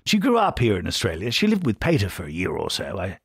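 Story role: courteous, mild toff, thoroughly upper-class British, old-fashioned phrasing, expressive melody, close dry sound. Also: male voice, fairly low pitch, fast, gravelly, husky, no room sound, full character voice. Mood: explaining. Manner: polite, hesitant, old-fashioned diction. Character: genteel, polite, upper-class British big brother.

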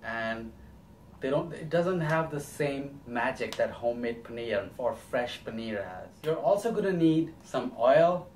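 A man's voice: medium pitch, expressive, measured pace, a little mellow, a little hiss or hum. A young man giving a casual, step-by-step cooking demonstration as host in a small home kitchen. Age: young adult. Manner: explains step by step.

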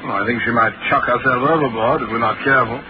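An actor playing a flustered, dismissive ship's officer who blusters and stammers in a 1940s radio drama. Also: male voice, medium pitch, fast, natural voice. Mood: offhand. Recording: muffled old radio broadcast with hiss.

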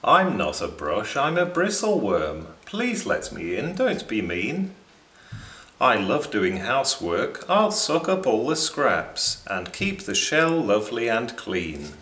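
Earnest storybook bristleworm, voiced by a male reader, coaxing and friendly, sing-song pitch, roomy sound. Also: big pitch swings, slow pace, sharp tone, exaggerated voice. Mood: pleading. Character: eager, house-proud, friendly bristleworm. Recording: roomy indoor echo.

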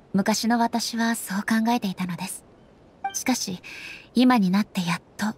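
Solemn, dignified goddess ruler, measured and formal. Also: female voice, fairly high pitch, darkish tone, slightly rough. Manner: formal, measured, polite speech. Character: solemn, dignified immortal female ruler, composed and earnest. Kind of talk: scripted anime game dialogue.

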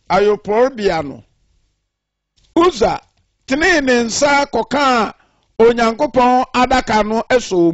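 High-pitched Ghanaian preacher, slow and deliberate. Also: male voice, no room sound, muffled recording. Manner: measured preaching, reading scripture aloud. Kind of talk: radio sermon. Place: radio studio.

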